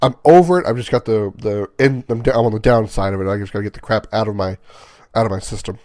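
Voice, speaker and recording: A man hosting a casual let's-play, stuffy and sniffling from a sinus infection, rambling in halting asides. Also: on the low side, dark tone, no room sound, studio quality. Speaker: gaming channel host.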